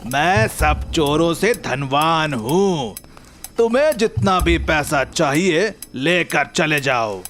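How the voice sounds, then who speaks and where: A man's voice: a little high, animated, slow pace, fairly bright tone, soft background music. A man acting a blustering comic figure in a cartoon story, with theatrical, exaggerated delivery. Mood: gleeful, boastful. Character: blustering male police officer and boastful male thief in comic story.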